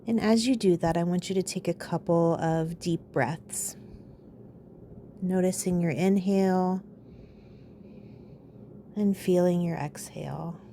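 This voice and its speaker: A woman leading a guided meditation in a calm, soothing, unhurried way, pausing between phrases. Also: fairly low pitch, slight background noise.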